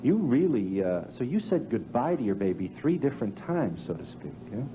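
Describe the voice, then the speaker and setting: A man's voice: medium pitch, big pitch swings, moderate pace, background noise. A man asking probing personal questions as an interviewer.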